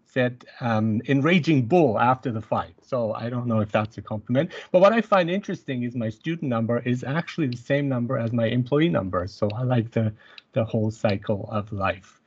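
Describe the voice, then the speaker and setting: Middle-aged man, mid-pitched voice, halting with lots of fillers, muffled webcam audio. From born in Iran, grew up in Germany. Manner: halting, many fillers and restarts. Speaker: university instructor giving a talk. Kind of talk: presentation over video call. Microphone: video call webcam mic. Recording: dull video call audio.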